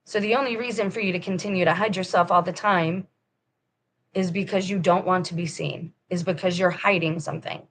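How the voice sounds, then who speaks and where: A woman's voice: medium pitch, fairly bright tone, slight background noise. Emphatic woman vlogging. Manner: emphatic, rambling, talks straight to viewers; firm and preachy. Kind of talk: casual monologue.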